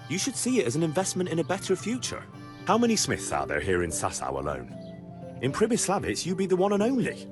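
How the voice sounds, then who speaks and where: A man's voice: a little high, huge pitch swings, quick pace, over music. A man playing a confident, persuasive young medieval man in a video game, talking matter-of-factly. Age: young adult. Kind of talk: video game dialogue acting.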